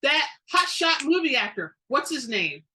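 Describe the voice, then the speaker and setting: A sassy old busybody in a dramatic storybook, put on by a woman. She is gushing and excitable, pitched high, with a bright, sharp edge. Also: measured pace, slight background noise, exaggerated voice. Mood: excited. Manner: gushing, meddling.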